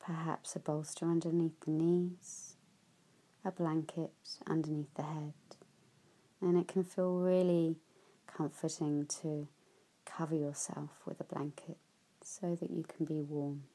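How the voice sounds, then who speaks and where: A woman leading a guided yoga nidra relaxation, speaking softly and often trailing into whispers at phrase ends. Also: low voice, level tone. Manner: soft, slow, with whispered phrase endings.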